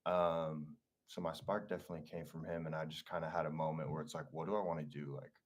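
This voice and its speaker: Very deep-voiced laid-back young man. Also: flat delivery, fast talker. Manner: laid-back, slangy, laughing easily. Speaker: singer, interview guest. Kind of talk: casual interview chat.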